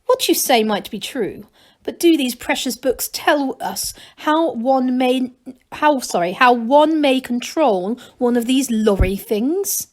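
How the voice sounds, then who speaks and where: A woman's voice: sits high, expressive, slow. A woman voicing a commanding, inquisitive gnome baroness who speaks carefully and formally in a storybook.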